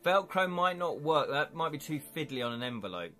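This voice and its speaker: Chatty excitable Englishman streaming live. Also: fairly high pitch, fairly quick. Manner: chatty and excitable, chuckles often. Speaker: livestream host. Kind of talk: casual live-stream chat while unboxing.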